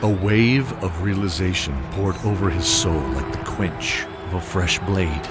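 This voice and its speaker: A man narrating a fantasy audio drama in a measured, dramatic storytelling manner. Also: low-pitched. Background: dramatic music.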